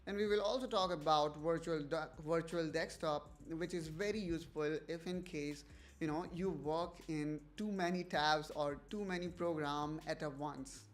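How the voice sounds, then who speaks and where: Hesitant male livestream host, higher voice. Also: normal speed. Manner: hesitant, many fillers and restarts, checking with viewers. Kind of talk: casual livestream tutorial.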